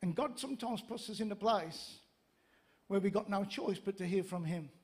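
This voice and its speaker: A man in his fifties with a north-east English accent, preaching as a pastor in an animated, conversational storytelling way into a handheld microphone. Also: high voice, normal speed. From Gateshead, north-east England. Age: 50s. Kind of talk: sermon with humorous anecdotes. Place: church stage, indoors.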